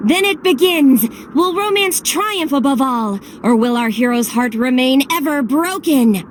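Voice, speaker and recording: A woman's voice: high-pitched, animated, a little slow, a little hiss or hum. A woman playing a mysterious, teasing master of ceremonies in a video game, proclaiming grandly. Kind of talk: video game cutscene narration in character.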